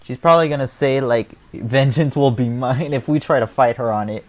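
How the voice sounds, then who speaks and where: A man's voice: medium pitch, a little fast, a little hiss or hum. Casual male gamer with mid-range voice. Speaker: video game streamer. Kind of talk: casual reaction commentary over gameplay.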